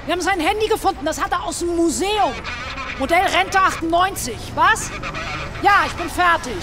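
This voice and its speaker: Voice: extremely high pitch, moderate pace, crowd noise, noisy background. An actor playing an irritable police officer in a radio crime drama, blunt and grumbling. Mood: irritated, blunt. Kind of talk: naturalistic German radio crime drama acting.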